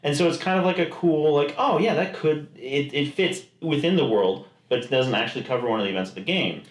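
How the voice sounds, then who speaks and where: A man's voice: a little high, a little fast. A man co-hosting a podcast in casual chat, rambling with many restarts and quoted asides. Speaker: podcast co-host. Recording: echoey room.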